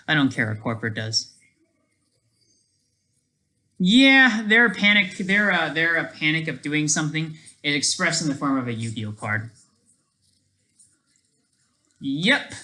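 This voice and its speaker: A man talking casually on a livestream, rambling and halting, often trailing off mid-thought, with a sardonic, self-deprecating streak. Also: fairly high pitch, lively intonation, pushed and bright, low-quality recording.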